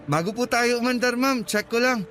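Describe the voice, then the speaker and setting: A man's voice: very high voice, normal speed, a bit bright, slight background noise. A man playing a courteous, deferential young motorcycle taxi rider in a radio drama. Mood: polite.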